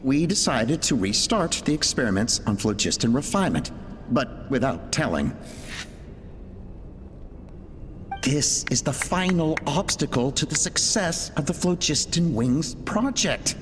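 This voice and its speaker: An actor playing a solemn, ruthless male researcher dictating experiment logs in a video game, grave and deliberate. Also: a little high, animated, close to an everyday voice. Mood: determined. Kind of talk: dramatic log narration in a video game. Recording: long reverberant hall, faint noise.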